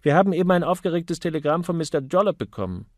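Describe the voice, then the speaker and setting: A jovial advertising boss from an audiobook crime novel, played by a male narrator. He banters breezily and teases his staff, with a slightly raised pitch and a dry, close sound. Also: sing-song, fast, no room sound. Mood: brisk, probing.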